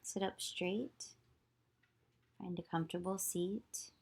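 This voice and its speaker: A woman yoga teacher leading a guided visualization meditation, giving slow, soothing instructions with long pauses. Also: fairly low pitch, fairly even intonation, creaky, darkish tone, breathy edge.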